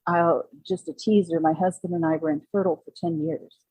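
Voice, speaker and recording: A woman in her sixties, a guest author telling personal stories in a casual, halting conversational way. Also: on the low side, fairly even intonation, warm tone, slightly rough, no room sound, poor audio. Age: 60s. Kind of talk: casual interview, personal storytelling.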